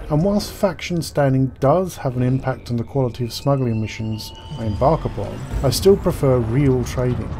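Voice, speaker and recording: A man's voice: mid-range voice, dark tone, a little hiss or hum. A man giving scripted game news commentary as a gaming commentator, articulate and formal in his wording.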